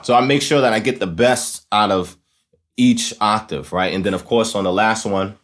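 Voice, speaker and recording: A man with a very low-pitched voice hosts a hands-on tutorial. He explains in a casual, conversational style, often tagging his points with a quick check-in to the listener. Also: fairly bright tone. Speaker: tutorial host. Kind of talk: casual instructional demonstration.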